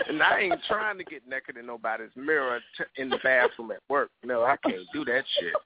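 A man's voice: medium pitch, big pitch swings, moderate pace, gravelly, no echo, phone-quality sound. A man hosting a casual call-in chat show, teasing his guests in a flamboyant way and swooping up into laughter. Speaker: host.

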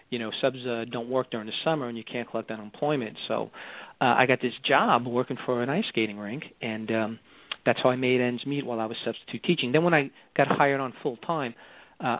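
A man's voice: medium pitch, brisk, no room sound. A man in his sixties from Long Island with a New York accent, a guest author telling rambling stories in a casual interview. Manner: chatty, rambling storyteller. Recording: dry, tinny phone-like line.

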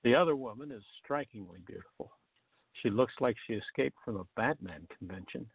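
A man with a gravelly, creaky voice reading scripted narration for a comic radio play, on a tinny, narrow recording. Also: medium pitch, lively intonation, brisk, no room sound. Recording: tinny, narrow phone-like sound.

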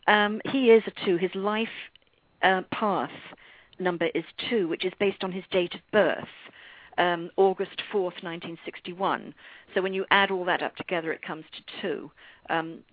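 A middle-aged woman with an English accent speaks in a husky voice, as a guest explaining spiritual ideas in an interview. The sound is dry and slightly dull, like lo-fi radio. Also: lowish voice, steady tone, moderate pace, dry recording. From London, England. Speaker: guest numerologist and author.

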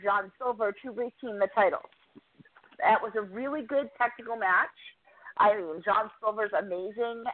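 A woman reporter giving a chatty, enthusiastic recap of a wrestling show, quick to poke fun at herself. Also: medium pitch. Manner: chatty, enthusiastic, self-deprecating. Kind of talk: casual podcast recap. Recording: tinny phone line.

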